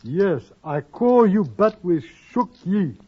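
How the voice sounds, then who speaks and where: An actor playing a formal, calculating Chinese gambler with a Chinese accent and clipped broken English in a 1950s radio western. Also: male voice, sits high, big pitch swings, slow pace, slightly rough, full character voice. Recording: dull old 1950s radio recording.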